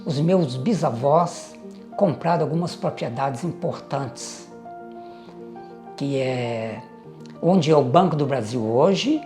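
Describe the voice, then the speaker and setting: An older man, recalling his family history in a measured, reflective way with pauses and hesitant fillers. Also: medium pitch, animated, over music. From Minas Gerais, Brazil. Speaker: interviewee recounting family history. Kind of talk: reminiscing oral history.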